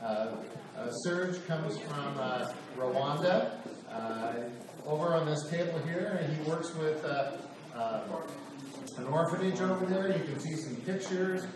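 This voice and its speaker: A man's voice: on the high side, unhurried. A man leading a church Bible class, introducing a guest speaker with frequent hesitations. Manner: hesitant, full of uh. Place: church hall. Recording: echoey hall from far off with room noise.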